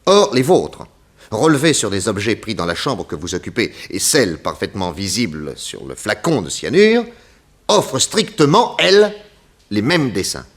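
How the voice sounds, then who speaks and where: A man's voice: on the low side, big pitch swings, moderate pace. A man playing a confident detective in a 1960s French radio drama, speaking in a theatrical, emphatic, methodical way. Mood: triumphant, assured. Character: confident male detective laying out an accusation.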